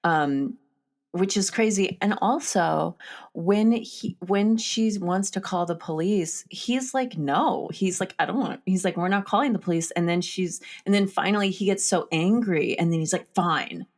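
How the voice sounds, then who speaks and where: A woman's voice: lowish voice, a little slow, a little mellow. A woman hosting a casual film discussion, talking in an informal and candid way. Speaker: podcast host.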